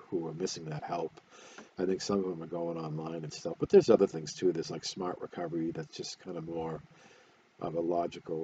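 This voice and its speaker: An older man with a stuffy nose, talking casually to his webcam at home, halting with many fillers and restarts. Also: low-pitched, dark tone, dull audio, slight background noise. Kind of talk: casual talk to camera. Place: small room at home, indoors. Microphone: webcam close up.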